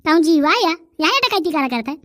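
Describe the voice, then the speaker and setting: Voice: high voice, big pitch swings, fairly quick, fairly bright tone, no room sound, studio quality. A performer voicing a fretful, nosy old village granny in a cartoon comedy, exclaiming in rustic Bundelkhandi speech. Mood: alarmed, curious.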